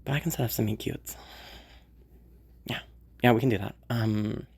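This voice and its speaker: A woman's voice: very deep voice, fairly even intonation, normal speed, gravelly, a little mellow, slightly rough, heavily acted. A woman voicing a sociopathic rich young woman who mutters her plans to herself and swears a lot. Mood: calm scheming. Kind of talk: audio roleplay monologue.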